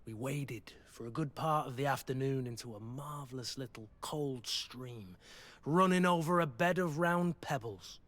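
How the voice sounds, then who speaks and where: An actor playing a gruff, loyal mercenary master of arms in a video game, brooding, plain-spoken and sardonic. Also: male voice, mid-range voice, unhurried, a bit bright, lightly acted. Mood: wistful. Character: gruff, battle-worn male mercenary master of arms, guilt-ridden, loyal. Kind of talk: video game dialogue acting.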